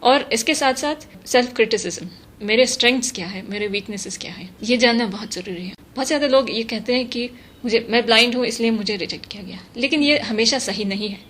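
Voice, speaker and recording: A woman giving measured, thoughtful interview answers, mixing English terms into her speech. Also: fairly high pitch. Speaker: interview guest, translator. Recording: noticeable steady background noise.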